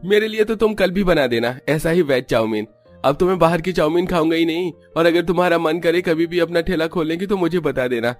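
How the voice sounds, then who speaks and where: A man's voice: fairly high pitch, expressive, normal speed, soft background music, pitched above the performer's own voice, lightly acted. A man voicing an eager young husband in a family cartoon, speaking in an exclamatory way. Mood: delighted. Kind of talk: Hindi family cartoon storytelling.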